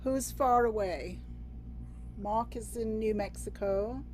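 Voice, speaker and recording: A woman's voice: fairly high pitch, slow pace. A woman from England hosting a video-call workshop, bubbly and chatty, with many fillers and restarts. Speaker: workshop host.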